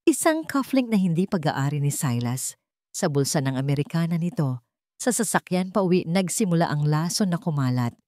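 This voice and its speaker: A woman's voice: low-pitched, expressive, moderate pace, mellow, no echo, clean studio sound. A woman narrating a scripted dramatic story as an audio storyteller.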